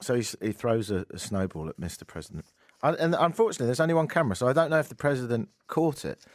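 Man, radio host, mocking, exasperated, animated pitch, dry close mic. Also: rapid-fire, no echo. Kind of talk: sarcastic comic rant.

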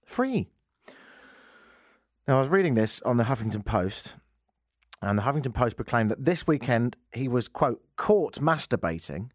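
A man's voice: mid-range voice, lively intonation, normal speed, long pauses, no echo. A man hosting a comedic radio show, rambling through sardonic asides into a studio mic. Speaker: radio host.

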